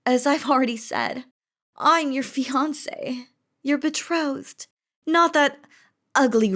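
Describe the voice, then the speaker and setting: Casting: female performer as feared demon queen, higher-pitched, unhurried, possessive and lovestruck, imperious delivery for romantic audio drama. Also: close to an everyday voice. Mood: insistent, irritated. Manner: imperious, dramatic, threatening yet doting. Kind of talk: scripted romantic audio roleplay.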